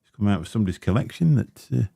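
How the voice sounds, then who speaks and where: A man's voice: animated, rapid-fire, creaky, warm tone, slightly rough, no room sound, studio quality. A man, hosting a casual livestream and rambling through chatty asides with lots of fillers. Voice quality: deep voice. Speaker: livestream host. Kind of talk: casual livestream chat while unboxing gear. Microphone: dynamic studio mic close up.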